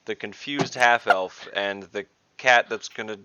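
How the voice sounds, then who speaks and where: A man playing a tabletop role-playing game on a video call, speaking casually in a gruff, sardonic way. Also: lowish voice, a little slow, lo-fi sound, noisy background. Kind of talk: casual in-character role-play over online chat. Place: indoor room on a group video call.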